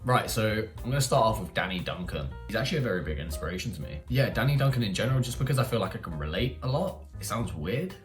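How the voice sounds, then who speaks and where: Deep-voiced young English-accented man. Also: expressive, quick pace, few pauses, soft background music. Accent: English, London-leaning. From Marbella, Spain. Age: young adult. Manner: informal, candid, rambling with frequent "like" and "you know". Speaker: YouTuber. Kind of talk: casual personal Q&A to camera.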